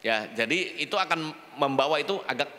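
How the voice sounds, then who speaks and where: A man interprets a sermon live on a big church stage, firing off each phrase fast with lots of "ya" and "eh" fillers. His voice is bright and forceful. A long hall reverb trails behind his words. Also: a little high, lively intonation. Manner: fast, filler-heavy rendering with "ya" and "eh". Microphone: handheld mic.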